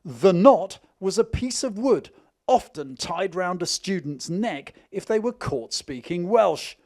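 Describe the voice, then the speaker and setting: A man's voice: high voice, lively intonation, takes it slowly, darkish tone, dry recording, clean studio sound. A man presenting a news feature, narrating and interviewing on location. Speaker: reporter and presenter. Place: outdoors at a castle.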